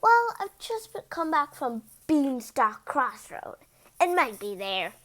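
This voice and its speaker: A woman voicing a nervous, deferential royal story writer in a children's picture book, halting and apologetic. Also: high voice, very animated, a bit bright, exaggerated voice. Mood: hesitant.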